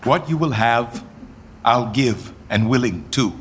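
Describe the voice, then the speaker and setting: A man's voice: mid-range voice, expressive, slow, fairly bright tone, slight background noise. A man playing a proud, doomed king in a Shakespeare radio play, mocking and wry, dropping to whispers and flaring up. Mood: resigned. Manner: mocking, wry, swings between whispers and outbursts. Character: doomed proud male king, bitter and theatrical.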